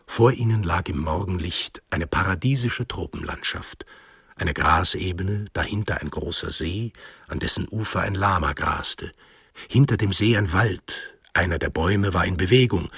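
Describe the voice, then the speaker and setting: A man narrating a literary radio drama in German as a grave, measured storyteller. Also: deep voice, creaky, fairly bright tone, slightly rough, no room sound, studio quality, full character voice. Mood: descriptive. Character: solemn storyteller narrating a literary eco-thriller.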